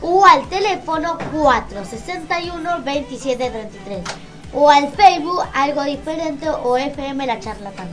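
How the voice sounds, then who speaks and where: A woman's voice: high voice, animated, normal speed, fairly bright tone, soft background music. A young woman with an Argentine accent, hosting a casual live community radio show in Spanish. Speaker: radio host.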